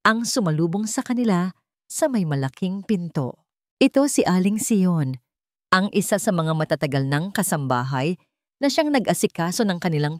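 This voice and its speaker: A woman's voice: on the low side, darkish tone, no room sound, studio quality. A woman narrating a scripted dramatic story as a storyteller.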